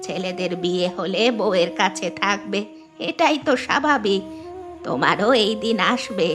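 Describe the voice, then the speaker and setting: A woman playing a gentle, sorrowful elderly village grandmother in a cartoon, with a soft-hearted, pleading manner. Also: medium pitch, moderate pace, over music, natural voice. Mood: gentle, forgiving. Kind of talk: Bengali cartoon voice acting.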